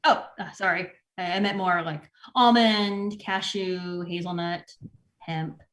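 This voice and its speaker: Actress as hesitant, earnest sitcom manager, female, lowish voice. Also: fairly even intonation, takes it slowly, fairly bright tone. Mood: awkward. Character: Nina, awkward, earnest female store manager. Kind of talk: sitcom table read.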